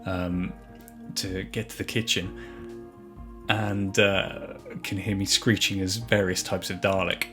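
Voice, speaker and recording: A man's voice: deep voice, flat delivery, takes it slowly. A man who works in audio drama production, talking reflectively in an interview. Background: music.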